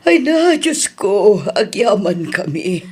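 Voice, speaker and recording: A woman playing an elderly, protective grandmother in a radio drama, with a drawn-out, emotive delivery. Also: medium pitch, big pitch swings, darkish tone, breathy edge, exaggerated voice. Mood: grateful, relieved. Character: elderly grandmother, protective, grateful, easily indignant. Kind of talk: Ilocano radio drama acting.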